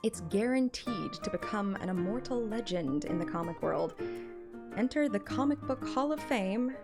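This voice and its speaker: A woman's voice: medium pitch, animated, normal speed, lightly acted. Woman reading as playful young heroine over music. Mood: playful, teasing. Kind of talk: dramatic reading of game text. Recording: music behind the voice.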